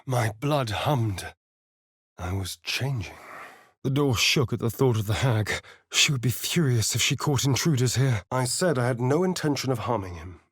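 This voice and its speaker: A man's voice: mid-range voice, animated, normal speed, husky, dry recording, clean studio sound. An actor playing a suave, sardonic male vampire spawn in a video game, with an arch, drawling, theatrical manner. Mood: hushed narration. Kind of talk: video game character voice lines.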